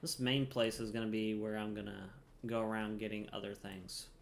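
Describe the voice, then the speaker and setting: A man's voice: fairly low pitch, flat delivery, moderate pace, vocal fry, slight background noise. A man giving casual, rambling livestream commentary over a game in a playful, chatty way. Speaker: game streamer.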